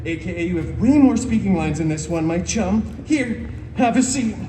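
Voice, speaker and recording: An actor playing a flamboyant, sarcastic masked antihero who hosts a live comedy storytime with campy, exaggerated emphasis. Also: male voice, high voice, lively intonation, moderate pace, dark tone, a little hiss or hum. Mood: playful teasing. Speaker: stage show host and storyteller. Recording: live show PA, distant, music behind.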